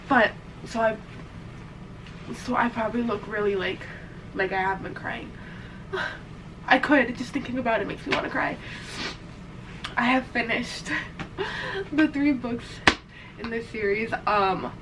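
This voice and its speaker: Congested, teary young woman vlogging. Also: a little high. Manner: emotional, rambling, swears, says "like" and "literally" often. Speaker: reading vlogger. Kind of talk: casual vlog chat to camera. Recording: distant mic with clear background noise.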